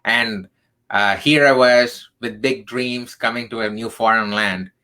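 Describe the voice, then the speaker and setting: A man's voice: mid-range voice, fairly even intonation, a little slow, bright, forceful tone. A man from southern India in his thirties, a tech career coach telling his story in an earnest, emphatic way, tagging points with "right?". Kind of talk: radio interview storytelling.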